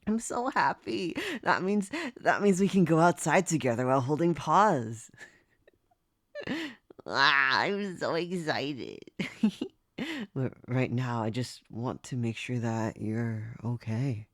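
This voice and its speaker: A man voicing a devoted pet who has turned into a young human, talking in an ASMR roleplay with soft, breathy whispers. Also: mid-range voice, lively intonation, slow, no room sound, clean studio sound. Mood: curious, delighted.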